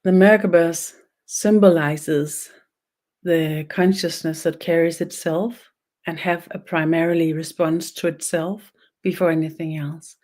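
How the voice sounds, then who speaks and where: A woman with a Danish accent, whose first language is Danish, teaching a spiritual webinar in a slow, meditative way and often searching for words. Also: fairly low pitch, steady tone, darkish tone.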